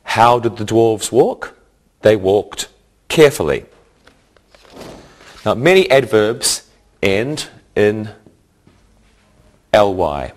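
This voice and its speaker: A man delivers a scripted grammar lesson as an English teacher. His pitch sits somewhat low and his pace is very slow. He enunciates deliberately, in a clearly instructional manner. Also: expressive.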